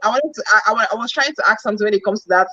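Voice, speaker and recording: Guest: woman, mid pitch, hesitant, muffled lo-fi line. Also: racing, bright, forceful tone. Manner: hesitant, repeats words. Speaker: panel guest. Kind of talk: casual panel chat.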